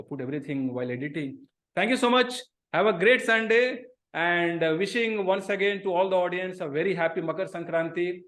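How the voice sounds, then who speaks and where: Fast, effusive Indian host. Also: male voice, on the high side, fairly bright tone. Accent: Indian English. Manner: effusive, lavish with praise. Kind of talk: interview over video call. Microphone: video call.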